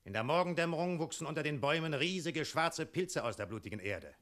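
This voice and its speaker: Imperious dragon, sharp-voiced. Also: male voice, on the high side, expressive, fast. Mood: brooding. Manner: curt, commanding, cold. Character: menacing, imperious centuries-old male dragon. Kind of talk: German radio play acting.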